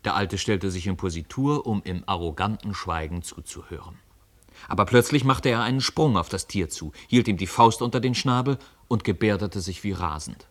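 Male narrator for radio drama: very low voice, measured, articulate literary storytelling. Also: expressive, fairly bright tone.

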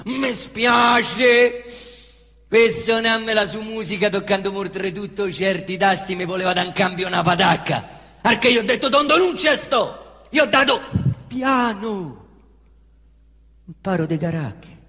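A brash young killer from the Roman outskirts, talking in swaggering slang with a thick Roman accent. His voice sits high and flips from defiant bursts to low mutters. A long theatre reverb trails behind every phrase. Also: a little slow, bright, forceful tone, heavily acted. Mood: aggrieved. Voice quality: slangy, swaggering street talk. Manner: swings between defiant outbursts and low muttering. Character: young male Roman slum youth, cocky killer. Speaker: stage actor in a monologue. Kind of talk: theatrical monologue. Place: theatre stage.

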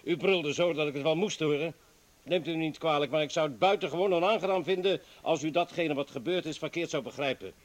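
An actor in a radio play playing an earnest party comrade who pleads insistently and tries to placate. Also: male voice, a little high, a little fast, close to an everyday voice. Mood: urgent. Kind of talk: dramatic radio play acting.

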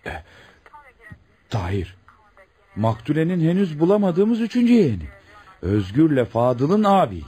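A man's voice: fairly high pitch, very animated, measured pace, pauses often, dark tone, breathy edge, full character voice. A man acting a deferential police assistant in a radio drama, reporting respectfully and eagerly to his superior. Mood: surprised, eager.

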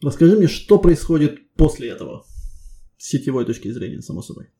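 A man with a creaky, mellow voice pitched a little high. He works as a technical interviewer, building each question deliberately and conversationally, one step at a time. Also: big pitch swings.

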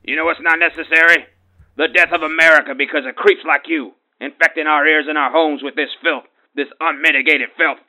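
A man's voice: fairly high pitch, steady tone, brisk, sharp tone, low-quality recording. A man playing a ranting bigoted caller. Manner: ranting, threatening, pompous. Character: bigoted blustering middle-aged male radio caller.

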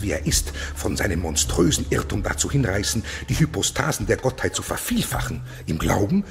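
Haughty fanatical eunuch, wildly swooping pitch. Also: male voice. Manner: declamatory, preaching, contemptuous. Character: fanatical, haughty male eunuch official ruling over monsters. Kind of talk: German radio play acting.

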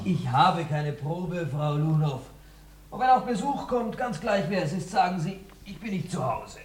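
A man's voice: a little high, animated, normal speed, husky. An actor playing a cheeky, flustered young man in a radio play, talking in a glib, stammering, hasty manner. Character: flustered, cheeky young bachelor son, a playwright. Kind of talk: German-language radio play acting.

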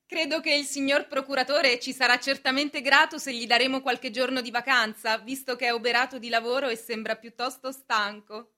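A woman's voice: high-pitched, steady tone, fairly quick, hardly any pauses, pushed and bright. A woman playing a condescending, formally polite deputy director in a radio drama. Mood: coolly polite. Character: smoothly officious, condescending deputy bank director. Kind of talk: radio stage drama acting.

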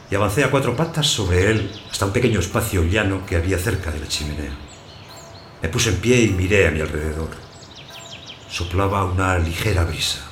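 An actor playing a lonely abandoned householder, narrating his story in a measured, plain way for an audio drama. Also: sits low, a bit bright. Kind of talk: first-person literary narration in audio fiction. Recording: roomy echo, clear background noise.

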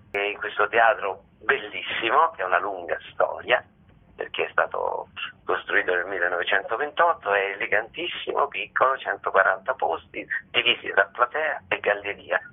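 A deep-voiced man, heard down a muffled phone line. He chats colloquially and jokily, wandering into asides as a guest on a radio interview. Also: husky. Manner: colloquial, jokey, digressive. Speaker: theatre director interviewed as guest. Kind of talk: chatty radio interview, promoting a play.

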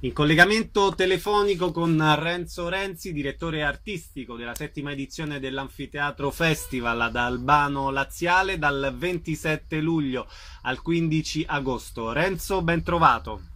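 Male radio interviewer, fairly high voice with a crisp, forceful edge, presenting guests. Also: moderate pace, soft background music.

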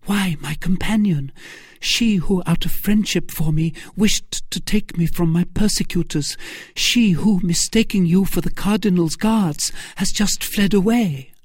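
A narrator voicing a fragile, devoted young woman in anguish, breathy and exclaiming, for a classic story. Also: mid-range voice, unhurried, darkish tone, dry recording, pitched above the performer's own voice. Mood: anxious, insistent.

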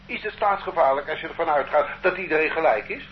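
A man's voice: fairly high pitch, quick pace, close to an everyday voice. An actor playing a defiant, work-shy man under arrest who questions and protests stubbornly in a radio drama. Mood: questioning, indignant. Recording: muffled, noisy old radio recording.

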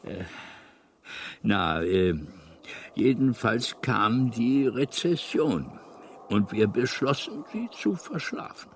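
A man's voice: medium pitch, lively intonation, slow, a little hiss or hum. A man playing a kindly, absent-minded old alien planet builder in a radio drama, with a hesitant, meandering delivery. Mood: wistful, rambling. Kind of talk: German radio drama acting.

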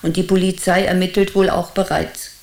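A woman's voice: fairly low pitch, flat delivery, normal speed, gravelly, low-quality recording. An older woman playing a wry, eccentric village grandmother in a radio drama, with chatty, hesitant interjections. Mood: wry, defiant.

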